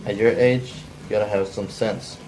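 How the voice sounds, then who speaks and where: Casting: amateur man as edgy folksy killer, monotone and gravelly, blunt, with faint noise behind. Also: lowish voice, moderate pace, close to an everyday voice, poor audio. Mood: recounting. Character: edgy, cold-blooded man confessing to killing. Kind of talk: amateur cold table read of a script.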